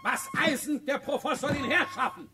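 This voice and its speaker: A man's voice: very high voice, brisk, over loud music. An actor playing an irascible, brutal, miserly Prussian king in a radio drama, barking curt archaic military commands. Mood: irritated.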